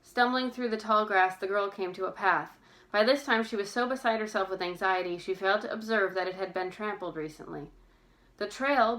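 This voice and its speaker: A woman narrates a novel aloud in a medium-pitched voice. Her delivery is expressive and careful, telling the story with a sense of drama.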